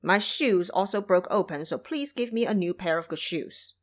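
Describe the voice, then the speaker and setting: A woman voicing a brash, grumbling male boar monster turned monk in an audio drama, loud and swaggering. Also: fairly low pitch, expressive, normal speed, close to an everyday voice. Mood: wheedling, complaining.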